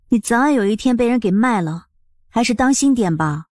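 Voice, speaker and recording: A confident young lady of the house, played by a woman in an audiobook drama. Her voice is fairly high and recorded dry, with a composed, curious, gently teasing way of talking. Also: lively intonation, unhurried, dry recording. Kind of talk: Chinese audiobook drama acting.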